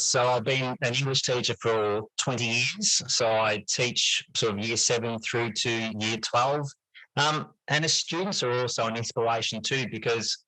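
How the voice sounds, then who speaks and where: A middle-aged man talks as an interview guest, explaining things reflectively. His voice is bright and clear-edged, at an ordinary male pitch. The sound is dry, with very little room around it. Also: dry recording, rough recording. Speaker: interview guest, teacher and writer. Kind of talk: conversational interview answers.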